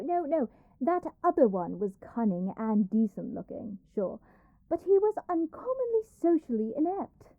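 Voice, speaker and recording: A woman's voice: on the high side, no room sound, phone-quality sound. A woman playing an arrogant young princess in a dramatic audio roleplay, haughty, petulant, theatrical and given to complaint.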